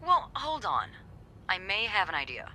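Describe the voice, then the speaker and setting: A woman's voice: mid-range voice, sing-song, normal speed, sharp tone, slight background noise. A woman acting a bold, playful, artistic hotel employee in a video game, with a wry, teasing and confident delivery. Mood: intrigued, determined.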